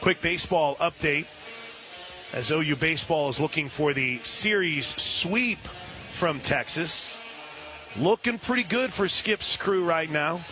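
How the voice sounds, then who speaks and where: An American man calling live softball play-by-play on the radio from an outdoor ballpark. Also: on the high side, measured pace, soft background music. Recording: loud outdoor ballpark ambience.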